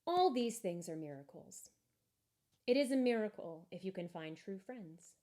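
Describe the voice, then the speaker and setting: A woman narrating a children's story expressively, with measured, deliberate emphasis. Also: medium pitch, animated, warm tone. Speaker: story reader.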